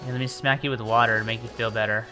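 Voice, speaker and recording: Casting: male game streamer, mid-pitched, off-the-cuff and repetitive reactions, game music faintly behind. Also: level tone, very fast. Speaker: video game livestreamer. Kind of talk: casual live gameplay commentary. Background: video game music.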